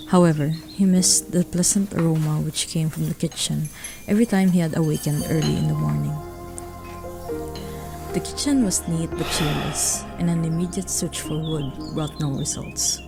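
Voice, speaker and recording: A woman's voice: low voice, vocal fry. A woman narrating a radio drama, reading a scripted story.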